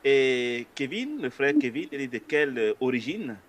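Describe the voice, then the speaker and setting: A man's voice: mid-range voice, big pitch swings, moderate pace. Man, interview host, polite, hesitant, conversational. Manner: polite, hesitant, many filler sounds.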